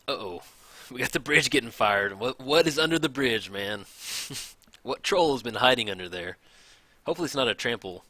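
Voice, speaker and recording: A man casually commenting on a card game match, thinking aloud, his voice a little raspy from hours of recording. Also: medium pitch, lively intonation, normal speed, no echo. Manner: chatty, thinks aloud, counts out loud. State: slightly raspy from long recording. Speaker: gameplay commentator.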